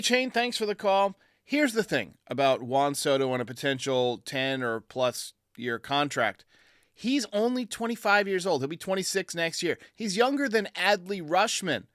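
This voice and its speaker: A man's voice: on the high side, a bit bright, no room sound, studio quality. A man hosting a baseball fan show, giving his commentary in answer to callers. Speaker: sports show host.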